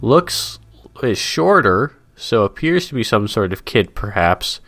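Low-voiced stuffy man in casual banter. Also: big pitch swings, a little slow, a bit bright, no room sound. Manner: wry, rambling, easily amused. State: stuffy nose. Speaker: podcast co-host. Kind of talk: casual chat, describing a comic.

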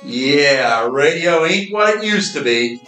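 An amateur actor playing a cocky, blundering supernatural detective in an old-time style radio play, breezy and wry. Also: male voice, fairly high pitch, expressive, takes it slowly, sharp tone, soft background music, exaggerated voice. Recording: echoey, distant, noisy with mechanical rattle.

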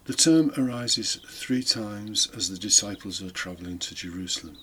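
A British man delivers a homily in a fairly low, bright voice. He explains in a reflective, conversational way, dropping in informal asides. There is faint noise behind him. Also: moderate pace. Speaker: homily podcast host, deacon. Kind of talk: informal homily, partly scripted.

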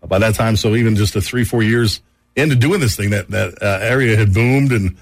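A man's voice: lowish voice, brisk, no echo. A man hosting a morning radio show, chatting and teasing his guests in a casual, upbeat way from the studio.

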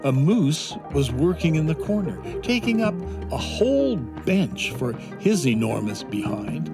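A man's voice: on the high side, very animated, unhurried, vocal fry, over loud music. A man narrating a children's fantasy adventure in a scripted storytelling style.

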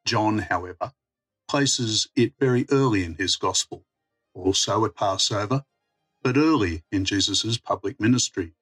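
A man's voice: fairly low pitch, measured pace, a bit bright, slightly rough, faint music behind. A man reading a prepared religious reflection on scripture. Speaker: church mission worker giving a reflection.

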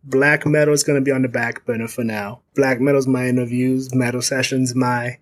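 A man who hosts a podcast, chatting casually about his plans in a relaxed, halting way with slang. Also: mid-range voice. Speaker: podcast host being interviewed. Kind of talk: casual chat.

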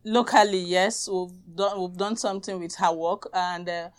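A woman guest answering a host's question briskly. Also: on the low side, steady tone. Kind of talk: casual interview answer.

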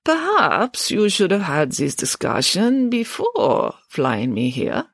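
A woman voicing a very elderly male professor who speaks slowly and deliberately with a quavering, dignified voice. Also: medium pitch, huge pitch swings, breathy edge, no room sound, studio quality, voice pushed up, full character voice. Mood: weary, dignified.

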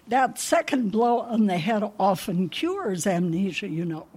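A woman's voice: mid-range voice, sing-song, measured pace, a little mellow, breathy edge. A woman playing a sweet, absent-minded older landlady who rambles forgetfully in a radio comedy. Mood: rambling.

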